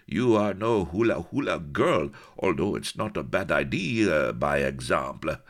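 A man's voice: low-pitched, lively intonation, measured pace, heavily acted. A man voicing a burly, gloating Breton pirate with a French accent, bawling mangled English mixed with French. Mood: mocking, triumphant.

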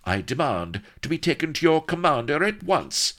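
A man's voice: a little high, big pitch swings, moderate pace, a bit bright, voice pushed up. A man voicing a vain, imperious young noblewoman with a posh upper-class English accent in a comic story. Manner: posh, affronted, commanding.

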